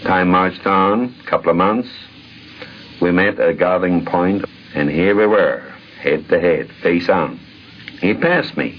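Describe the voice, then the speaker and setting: Male interviewee, very low voice, emphatic dramatic storyteller, muffled vintage audio. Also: measured pace. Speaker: interviewee recalling a friend. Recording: dull, noisy vintage radio recording.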